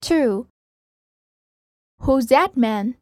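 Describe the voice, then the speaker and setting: High voiced lady reading test items real slow n careful, no room sound. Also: expressive, darkish tone, dry recording. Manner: careful, deliberate enunciation. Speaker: listening-test reader. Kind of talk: scripted language-test reading.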